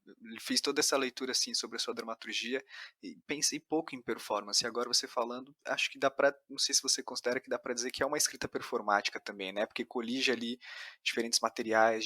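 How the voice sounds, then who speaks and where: A man with a Brazilian accent hosts a casual podcast conversation. His voice sits at a medium pitch, and the sound is dry and close, free of room echo. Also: sharp tone. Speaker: podcast host, interviewer.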